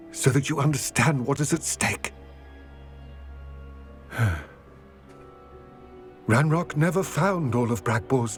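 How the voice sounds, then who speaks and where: A man voicing a grave, weary goblin in a video game, speaking earnestly in measured, formal phrases with regret. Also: medium pitch, lightly acted. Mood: grave, worried. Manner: measured, formal, solemn storytelling. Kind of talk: video game cutscene acting.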